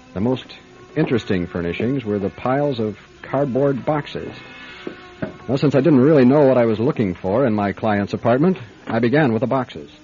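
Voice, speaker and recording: An actor playing a brisk, wry private detective in a radio drama, with a clipped, matter-of-fact delivery. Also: male voice, deep voice, warm tone, highs cut off, close to an everyday voice. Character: hard-boiled male private detective, brisk, wry, businesslike. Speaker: lead actor and narrator. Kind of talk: radio detective drama with first-person narration.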